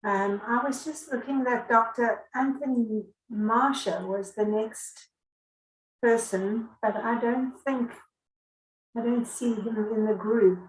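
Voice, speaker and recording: A South African woman chairing an online academic session, speaking politely and hesitantly. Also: a little high. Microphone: video call. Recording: echoey room, faint background noise.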